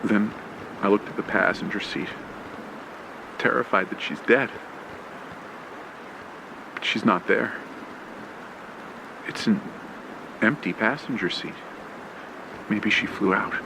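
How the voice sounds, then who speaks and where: A man narrates a horror story in the first person, in the manner of a classic radio play. His low voice has a heavy gravelly creak and moves very slowly, with dramatic pauses. Noisy ambient effects sit clearly behind him. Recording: clear background noise with ambience and vehicle sounds. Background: rain, ambience and vehicle sound effects.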